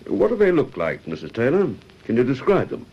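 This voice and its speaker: An actor playing a courteous, cautious police detective who asks measured, polite questions in a radio drama. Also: male voice, mid-range voice, huge pitch swings, husky, dull audio, exaggerated voice. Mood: polite, probing.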